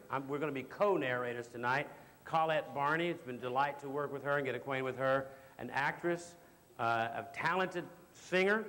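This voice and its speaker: Higher-voiced man hosting in a reverberant hall. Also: fairly bright tone. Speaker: stage show host. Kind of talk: hosting speech to a live audience. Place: large hall, live stage show.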